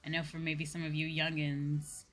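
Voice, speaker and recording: An American woman chatting casually to viewers as a livestream host, animated and repeating phrases for emphasis. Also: deep voice, normal speed, soft background music. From United States.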